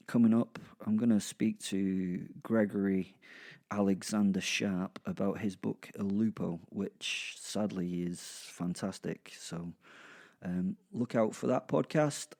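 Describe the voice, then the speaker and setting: Mellow, lowish-voiced male podcast host. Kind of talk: informal podcast introduction.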